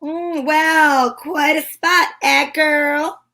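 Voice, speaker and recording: A woman's voice: high voice, steady tone, extremely slow, sharp tone, full character voice. A woman playing a breezy, wisecracking female private eye in 1940s radio acting. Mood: breezy, teasing.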